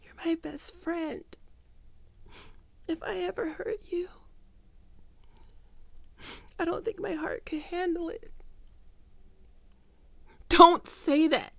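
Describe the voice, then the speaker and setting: A woman playing a guilt-ridden, tender young ghoul best friend in an intimate ASMR roleplay, speaking softly and haltingly with emotion. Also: very high voice, steady tone, vocal fry, dry recording, full character voice. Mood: anguished, pleading. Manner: soft, hesitant, emotional, halting.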